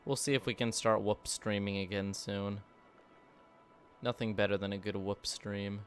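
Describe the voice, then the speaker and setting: A man's voice: on the low side, flat delivery, moderate pace, soft background music. A man streaming a city-building game, chatting casually and joking with sarcasm. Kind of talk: casual gameplay commentary.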